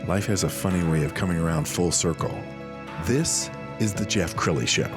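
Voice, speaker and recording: A man's voice: deep voice, normal speed, music in the background. A man in his fifties, the show's host, reading a reflective scripted narration. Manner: reflective storytelling. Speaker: show host.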